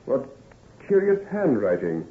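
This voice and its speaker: A brisk doctor and trusted friend in a vintage radio play. His mid-range voice rises and falls freely with emphatic assurance. It sounds narrow, like an old broadcast. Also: medium pitch, wildly swooping pitch. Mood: concerned, probing. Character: male doctor, brisk, solicitous friend and adviser. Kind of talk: old-time radio drama acting. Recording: tinny old-time radio recording.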